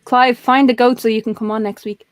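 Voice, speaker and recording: A woman's voice: fairly high pitch, level tone, a little fast, smooth, no echo, clean studio sound. A middle-aged woman co-hosting a livestream chat, rambling and self-deprecating, laughing often. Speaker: co-host of a livestream talk show. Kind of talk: casual chat.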